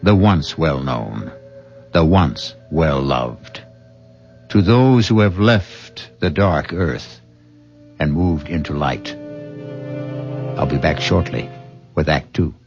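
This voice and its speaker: An actor playing a grave, gravelly radio drama host, narrating slowly and deliberately with dramatic pauses. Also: male voice, very deep voice, lively intonation, full character voice. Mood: solemn, measured. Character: grave, unhurried male host of a radio mystery anthology. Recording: dull old radio recording, music behind.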